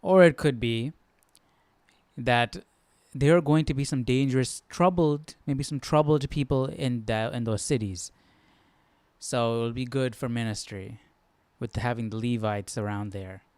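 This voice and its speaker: A man's voice: mid-range voice, unhurried, a little mellow, clear, resonant tone, dry recording. A man hosting a live Bible study, musing casually in a rambling, filler-heavy way as he thinks aloud. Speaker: Bible study livestream host.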